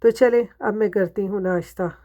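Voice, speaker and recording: A woman's voice: medium pitch, normal speed, warm tone. A woman from Pakistan, a home vlogger narrating her day chattily to viewers while her throat is sore. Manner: talks to viewers, asks them questions. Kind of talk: chatty voice-over narration of a daily routine.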